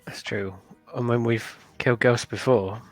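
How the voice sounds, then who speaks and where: A man's voice: mid-range voice, steady tone, measured pace, dry recording. A man playing a tabletop game, thinking aloud with hesitant fillers. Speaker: player. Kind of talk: casual table talk. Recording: faint music behind.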